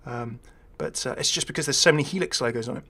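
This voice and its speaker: A man's voice: medium pitch, fast talker, a bit bright. Male gaming video host, mid-range voice, casual and unscripted, hesitant thinking-aloud delivery with wry asides.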